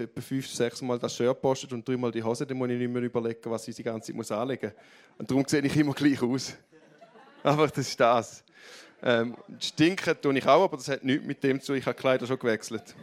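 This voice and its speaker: Swiss man with a broad Graubünden accent. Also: mid-range voice. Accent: Graubünden Swiss German. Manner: candid, self-mocking, moved, with loose restarts. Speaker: church leader speaking on stage. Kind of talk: heartfelt stage talk to a church audience.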